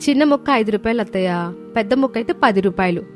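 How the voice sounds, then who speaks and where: A woman's voice: medium pitch, lively intonation, fast talker, a little mellow, faint music behind, natural voice. A woman playing a respectful, earnest young daughter-in-law in a village cartoon moral story. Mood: polite. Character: hard-working, polite young village daughter-in-law selling food. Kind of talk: dramatised moral story dialogue.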